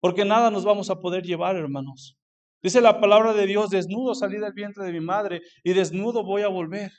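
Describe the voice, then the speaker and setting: Missionary guy preaching hard in church, high voice, keeps saying brothers. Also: normal speed, darkish tone. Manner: fervent preaching, repeats "hermanos" often, frequent restarts. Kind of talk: sermon. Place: church sanctuary.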